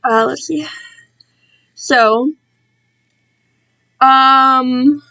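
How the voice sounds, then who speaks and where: A fairly high-voiced woman talks at an unhurried pace, as if live to her viewers. She rambles casually, gets sidetracked and puts herself down. The sound is dry, with little room around the voice. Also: fairly even intonation, sharp tone, clear, resonant tone, no echo, muffled recording, soft background music. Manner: rambling, self-deprecating, easily distracted. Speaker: streamer reading own fan-written work to viewers. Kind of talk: casual live-stream chat.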